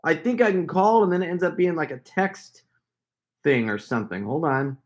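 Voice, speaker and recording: A man in his forties with an American accent, chatting casually to a camera in a halting, half-muttering way. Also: fairly high pitch, big pitch swings. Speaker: prank caller and video host. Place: home room with wood shelves, indoors. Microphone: desk camera at arm's length.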